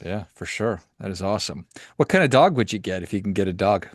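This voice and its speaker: A man's voice: on the low side, lively intonation, quick pace, no echo. A man hosting a podcast, chatting casually and asking playful, teasing questions. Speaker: podcast host. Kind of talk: casual interview chat.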